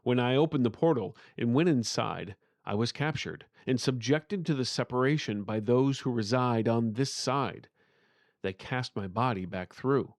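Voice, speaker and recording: A man's voice: medium pitch, normal speed, no room sound, close to an everyday voice. A man voicing an earnest, pleading uncle whose spirit is trapped, in a fantasy storybook. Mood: grave, pleading.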